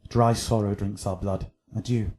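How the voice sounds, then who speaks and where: An actor playing a tender, sorrowful young husband in a Shakespearean radio drama, soft and solemn. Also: male voice, fairly low pitch, flat delivery, normal speed, close to an everyday voice. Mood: sorrowful farewell.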